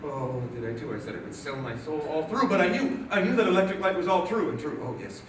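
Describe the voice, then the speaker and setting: An actor playing a tormented, middle-aged Doctor Faustus who pleads to be left alone, heard from far off in an echoey hall. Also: male voice, fairly high pitch, sing-song, fast talker. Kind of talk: staged reading of a play. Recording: distant, echoey hall with noise.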